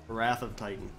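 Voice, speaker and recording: A man doing a live let's play, reading quest dialogue aloud haltingly and often restarting his phrases. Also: fairly low pitch, vocal fry, a bit bright. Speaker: game streamer. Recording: music behind the voice. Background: game music.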